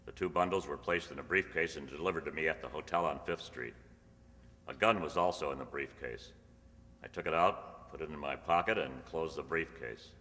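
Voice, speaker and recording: An actor playing a matter-of-fact, deadpan police detective in a 1950s-style radio crime drama. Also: male voice, a bass voice, a little hiss or hum. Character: male police detective narrating, posing as a drug buyer. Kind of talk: 1950s radio crime drama, clipped first-person narration. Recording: hall-like reverb, music underneath.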